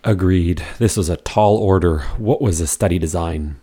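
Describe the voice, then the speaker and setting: A man's voice: deep voice, normal speed, dark tone, slightly rough. A man hosting a medical podcast, asking questions and joking wryly. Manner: wry, joking, asks questions. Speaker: podcast host.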